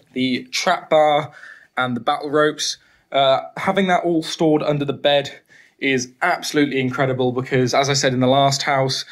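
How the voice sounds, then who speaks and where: A young man in his twenties, a fitness vlogger, chatting upbeat through a home gym tour, filmed on a handheld phone in a small bedroom. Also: medium pitch. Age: 20s. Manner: upbeat, chatty, many fillers. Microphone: handheld phone camera.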